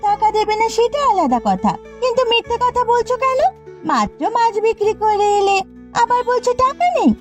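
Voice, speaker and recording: Cartoon village girl, voiced by a woman: pushy fish seller, very high, swooping, wheedling delivery. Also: very animated, brisk. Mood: complaining. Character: pushy, money-minded younger sister, village fish seller. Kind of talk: Bengali cartoon dialogue. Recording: slightly muffled audio with background music. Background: background music.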